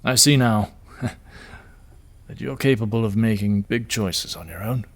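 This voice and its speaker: An actor playing a middle-aged father and troubled leader, tender and apologetic, speaking hesitantly with gentle wryness in an audio drama. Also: lowish voice, a little slow.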